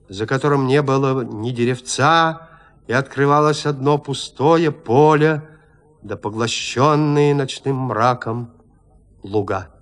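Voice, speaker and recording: A man narrating a literary audio drama with measured, suspenseful, theatrical phrasing. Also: medium pitch.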